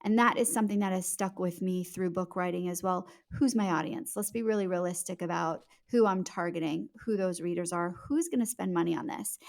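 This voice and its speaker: A woman's voice: lowish voice, brisk, vocal fry, hardly any pauses, darkish tone. Woman author explaining things in an interview. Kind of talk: conversational podcast interview.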